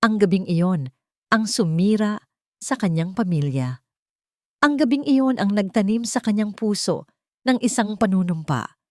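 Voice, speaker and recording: A woman's voice: medium pitch, expressive, unhurried, pauses often, no room sound, clean studio sound. A woman narrating a dramatic scripted story with solemn, emotive delivery.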